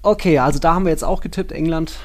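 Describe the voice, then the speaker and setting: A man's voice: on the high side, racing. A man co-hosting a football podcast in casual conversation, laid-back and dryly joking.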